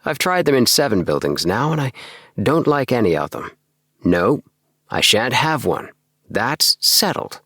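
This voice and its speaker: A man's voice: mid-range voice, big pitch swings, unhurried, bright, forceful tone, slightly rough, dry recording, clean studio sound, voice pushed up, exaggerated voice. A man voicing an eccentric, earnest young dreamer with a fussy, emphatic way of talking in a storybook. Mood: fussy, decided. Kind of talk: storybook character voice.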